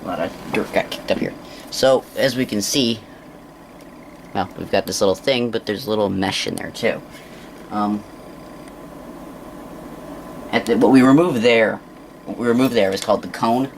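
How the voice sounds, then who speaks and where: A man giving an unscripted hands-on demonstration in a halting, casual mutter as he works, on an amateur recording. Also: fairly low pitch, gravelly, slight background noise, rough recording. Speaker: presenter of a hands-on science demonstration.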